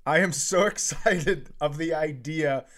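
A man's voice: on the high side, unhurried, fairly bright tone. Crude, joking man telling stories. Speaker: comedian co-hosting a comedy podcast. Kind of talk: casual comic storytelling and banter.

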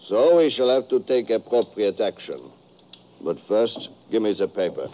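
Lowish, animated, coolly menacing spy villain, a man acting. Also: moderate pace, lightly acted. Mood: smug menace. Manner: formal, coolly commanding. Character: menacing, formally polite male spy villain with a gun. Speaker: supporting actor in a radio drama. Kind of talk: 1950s radio acting.

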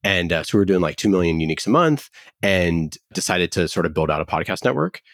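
A man's voice: low voice, animated, fast, a bit bright, no echo, clean studio sound. An American man telling his story as an interview guest in a conversational, explanatory way. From Oakland, United States. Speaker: podcast company founder, interview guest.

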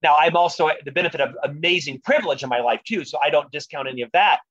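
Man, high pitch, stutterer, earnest, reflective, interview guest, dry slightly dull sound. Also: quick pace, a bit bright, no echo. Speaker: guest, author and leadership speaker. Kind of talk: podcast interview conversation.

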